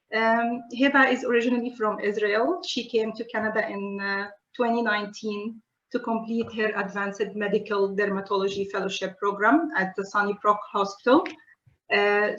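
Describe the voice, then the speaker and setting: A woman hosting a webinar and introducing a speaker in a polite, formal, measured manner. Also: medium pitch, fairly bright tone. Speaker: webinar host. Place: online webinar connection. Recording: roomy, dull webinar audio.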